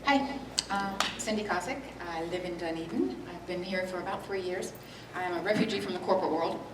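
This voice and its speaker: A retired woman giving a brisk, matter-of-fact introduction at a club meeting. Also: on the low side. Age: older. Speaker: new member introducing herself. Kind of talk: brief self-introduction. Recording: echoey room, distant mic, loud noise.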